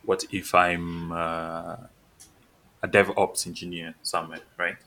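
Man, podcast co-host, deep voice, bright edge, hesitant, filler-heavy. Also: flat delivery, takes it slowly. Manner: hesitant, many "uh" and "kind of" fillers. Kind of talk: casual chat.

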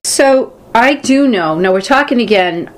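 A woman's voice: a little high, expressive, measured pace, faint music behind. A woman from Boston with a Boston accent, co-hosting a podcast in casual chat and eagerly agreeing with her partner. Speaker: podcast co-host.

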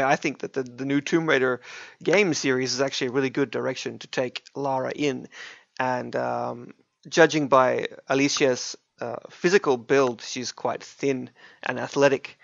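Guy talking games n movies on a podcast, creaky voice, keeps restarting words, dry kinda muffled mic. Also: mid-range voice, fairly bright tone, dry recording. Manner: hesitant, repeats words mid-thought. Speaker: podcast co-host. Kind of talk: casual podcast discussion of games and films.

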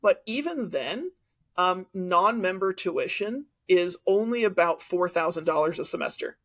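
A man's voice: sits high, lively intonation, unhurried, a bit bright. A man co-hosting a podcast, a teacher who argues his points in casual debate with examples. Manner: argumentative, explains with examples. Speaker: podcast co-host.

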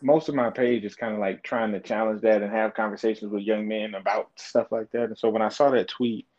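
A man's voice: on the low side, steady tone, brisk, a little mellow. A man in his twenties, a podcast guest, speaking thoughtfully and hesitantly with many fillers. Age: 20s. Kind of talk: casual interview chat. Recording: slightly dull lo-fi audio.

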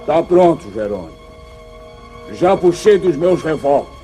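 Um ator brasileiro interpretando um fora da lei vingativo e fanfarrão, em tom teatral e provocador de radionovela de faroeste dos anos 1950. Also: male voice, on the high side, very animated, unhurried, dark tone. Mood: taunting menace. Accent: Brazilian. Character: menacing male outlaw gunslinger, vengeful, boastful. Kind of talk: 1950s radio western acting. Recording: old radio drama with music behind.

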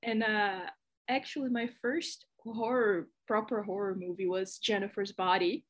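Brazilian-accented woman podcast guest, animated storyteller, laughs easily. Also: medium pitch, measured pace. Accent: Brazilian Portuguese. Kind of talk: casual chat.

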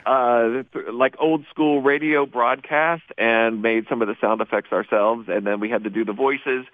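A man's voice: medium pitch, fairly even intonation, normal speed, no room sound. An American man, a teacher, speaking casually as an interview guest over the telephone. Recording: narrow, tinny phone line.

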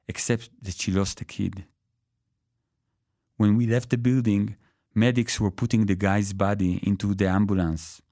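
Guy reading his short story, dark mellow lowish voice, really dry recording. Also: dry recording. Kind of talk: literary first-person story reading.

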